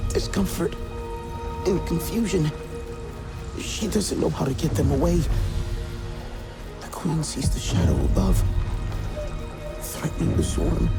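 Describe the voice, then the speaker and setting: Young alien hunter, creaky and earnest. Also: male voice, high voice, full character voice. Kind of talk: dramatic video game acting.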